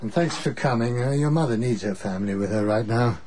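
A male actor plays an older English patriarch in a radio drama. His voice is husky and mid-pitched, with a gruff, weary affection and an old-fashioned blustery manner. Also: fairly quick, a little mellow, lightly acted. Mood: fond, resigned. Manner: blustery, old-fashioned upper-class turns of phrase. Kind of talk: naturalistic radio drama acting.

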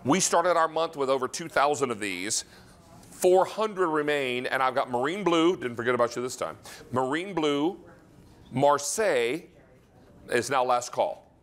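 A middle-aged American man hosting a live home-shopping pitch from a studio kitchen, effusive and folksy in manner. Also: animated, a little slow, fairly bright tone. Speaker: shopping channel host.

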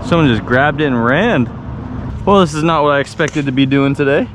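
A young man chatting excitedly and laughing as he fishes from a pier, talking in casual slang. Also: fairly high pitch, brisk. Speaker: fishing vlogger. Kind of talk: casual chat while fishing. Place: outdoors on a concrete bridge pier over a bay. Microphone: action camera. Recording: outdoor action-camera audio with loud wind noise. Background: wind and water.

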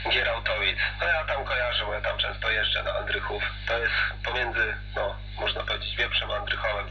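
A man chatting casually as a CB radio operator, heard through a radio. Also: medium pitch. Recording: muffled, noisy CB radio reception with hum.

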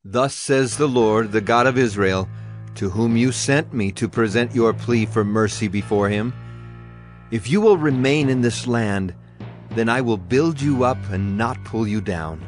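An actor playing a stern Hebrew prophet in a dramatized audio Bible, solemn and declamatory. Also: male voice, mid-range voice, measured pace, faint music behind, close to an everyday voice. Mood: solemn, reassuring.

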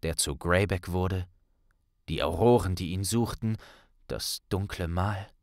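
Slow, animated male audiobook narrator. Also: low voice, no echo, studio quality. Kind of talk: dramatic scripted fiction narration.

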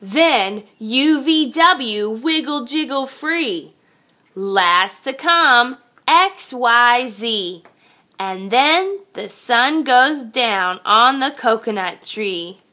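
A woman reading a picture book aloud to young children like a teacher, expressive and sing-song. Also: a little high, very slow.

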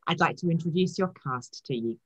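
A woman's voice: deep voice, expressive, a little fast, breathy edge, lo-fi sound. A middle-aged woman, a church minister, giving a formal welcome and introductions over a webcam from her home study.

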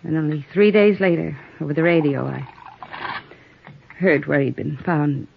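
An actress playing a cool, seductive, world-weary American widow in a 1950s radio drama, languid, guarded and theatrical. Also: female voice, sits low, fairly even intonation, moderate pace, vocal fry, slight background noise. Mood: wounded, weary. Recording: dull old radio recording.

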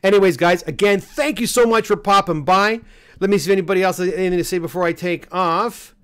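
A man in his fifties with a fairly high voice, chatting casually on a livestream from a small home room. He reads viewer comments aloud, laughs easily and keeps nudging viewers to press the like button. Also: fast talker, fairly bright tone, smooth. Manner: chatty, laughs often, coaxes viewers to hit like. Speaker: livestream host reading viewer chat. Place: home studio room, indoors.

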